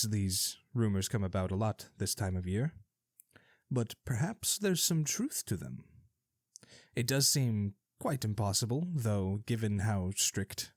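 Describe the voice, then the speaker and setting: A man's voice: lowish voice, animated, unhurried, no room sound. A man hosting a scripted fantasy radio show, delivering the news in a wry, theatrical way with dry asides.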